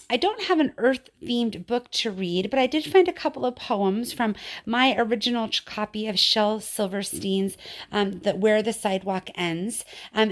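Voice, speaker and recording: A middle-aged woman, a teacher, reading children's poetry aloud and chatting informally about it in an expressive way. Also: mid-range voice. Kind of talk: read-aloud of children's poetry with casual commentary.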